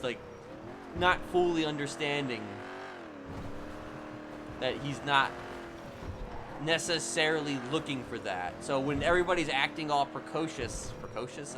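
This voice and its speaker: A man's voice: medium pitch, noisy background. A man livestreaming casual commentary on a roleplay game, explaining things in a rambling, hedging way. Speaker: streamer.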